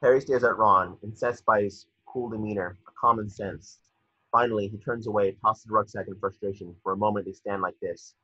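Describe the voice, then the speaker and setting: A man with a husky voice reading screen directions as narrator at a table read over a video call. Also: on the low side, fairly even intonation, fairly quick, sharp tone, no room sound. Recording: muffled lo-fi video call audio.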